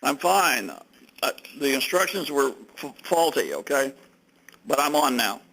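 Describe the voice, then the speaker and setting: An older man, a company executive, gives remarks on a conference phone call in measured phrases with pauses. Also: mid-range voice, animated, vocal fry, pushed and bright. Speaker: company executive being interviewed. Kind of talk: conference call remarks, recounting his business.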